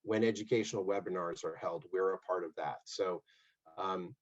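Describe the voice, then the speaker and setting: Guy with a lowish voice on a conference call, friendly and upbeat, audio kinda dull. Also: steady tone, normal speed. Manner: friendly and upbeat, with frequent fillers.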